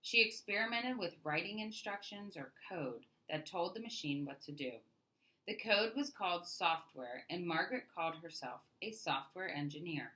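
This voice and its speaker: A woman with a bright, crisp-edged voice that sits a little low reads a children's book aloud. She narrates expressively, phrasing deliberately and leaning on key words as a storyteller would. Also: expressive, low-quality recording.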